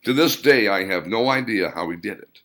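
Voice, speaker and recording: A man reading scripted audiobook fiction in a deliberate storytelling manner, with dramatic emphasis. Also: lowish voice, animated, sharp tone. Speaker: author reading own novel.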